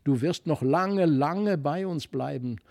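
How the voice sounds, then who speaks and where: A man's voice: a little high, slow, gravelly, darkish tone, dry recording, clean studio sound. A man voicing devoted young children with an emotional, imploring manner in a storybook reading. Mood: grieving, pleading. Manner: plaintive, repeating, imploring. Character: two distressed, devoted young children, pleading.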